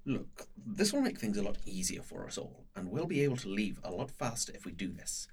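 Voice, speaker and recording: A man playing a brisk, secretive scientist who explains things in a controlled, persuasive way in an audio drama. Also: lowish voice, very animated, husky, full character voice. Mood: secretive urgency. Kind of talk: naturalistic audio drama acting.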